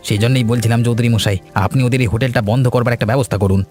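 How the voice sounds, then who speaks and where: A man's voice: mid-range voice, steady tone, rapid-fire, darkish tone, soft background music, close to an everyday voice. A man voicing a shifty, cowardly village schemer in a cartoon folk tale, blustering and whiny, stammering when cornered. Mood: scheming, wheedling. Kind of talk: animated folk tale voice acting.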